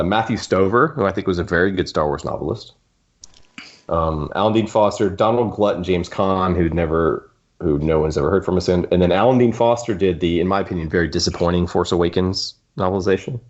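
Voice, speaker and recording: A man hosting a podcast, discussing a book casually and with strong opinions, often stopping to rephrase his thoughts. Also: low voice. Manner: opinionated, halting and rephrasing as he goes. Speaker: podcast host. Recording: dull, muffled lo-fi audio.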